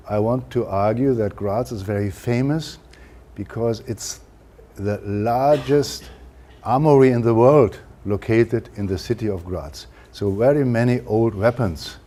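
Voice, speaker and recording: A man with a German accent, a native German speaker giving a university lecture in a careful, halting way with frequent fillers. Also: on the low side, mellow. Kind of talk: academic lecture.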